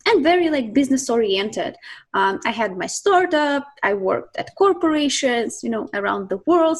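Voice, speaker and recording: A woman from Siberia, a guest talking reflectively about her life and work, often pausing with ums and restarts. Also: fairly high pitch. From Russia (Siberia). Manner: reflective, thinks aloud with many ums and restarts. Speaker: guest, mindset coach. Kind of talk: casual interview talk.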